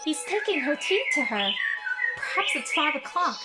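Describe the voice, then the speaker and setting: A woman playing a frail, imperious and excitable young invalid boy in an audio drama, earnest and demanding. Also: sits high, moderate pace, loud music behind the voice. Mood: contented, eager.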